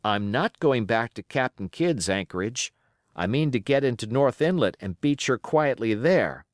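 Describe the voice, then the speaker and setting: Male reader as plucky boy adventurer, cheeky, formal, commanding, animated pitch, sea storybook. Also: moderate pace, dry recording, clean studio sound, lightly acted. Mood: firm, cheerful. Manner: formal, bold, declarative.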